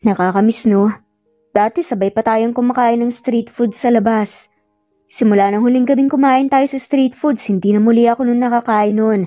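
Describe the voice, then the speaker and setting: A woman's voice: on the high side, fairly even intonation, moderate pace, warm tone, no room sound, studio quality, faint music behind, close to an everyday voice. A woman with a Filipino accent voicing an earnest, insistent man pleading with his lost love in a narrated romance. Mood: coaxing.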